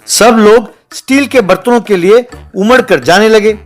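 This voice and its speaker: A man's voice: high-pitched, unhurried, faint music behind. A man narrating a scripted comic village tale as a storyteller.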